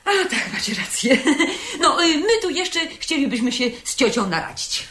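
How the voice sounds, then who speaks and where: Animated, coaxing, genteel niece. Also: female voice, high voice, moderate pace. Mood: uneasy, placating. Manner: hesitant, cajoling, theatrical. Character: scheming, coaxing bourgeois married niece, affectedly genteel. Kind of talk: 1970s radio drama acting. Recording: roomy, noisy old radio recording.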